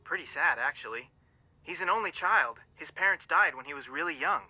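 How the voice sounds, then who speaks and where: A man's voice: on the high side, lively intonation, fairly quick, bright, forceful tone, no echo, highs cut off, soft background music, exaggerated voice. An actor playing a dry, level-headed male detective, the straight man of a pair in a video game, heard through a phone filter. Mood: matter-of-fact.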